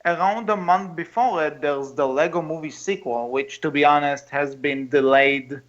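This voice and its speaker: Higher-pitched man on a dull mic. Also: normal speed. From outside the United States. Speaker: podcast co-host. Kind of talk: casual discussion. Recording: dull, low-fidelity mic.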